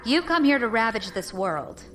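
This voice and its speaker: A woman's voice: fairly high pitch, big pitch swings, moderate pace, faint music behind. A woman playing a defiant, commanding rebel fleet leader in an audio drama. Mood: shrewd. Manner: commanding, composed.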